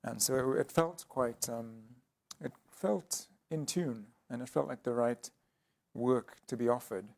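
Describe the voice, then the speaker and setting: A man speaking as an artist guest in an interview, explaining his work thoughtfully with frequent pauses and restarts. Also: medium pitch, vocal fry, a little mellow. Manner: hesitant, many ums and restarts.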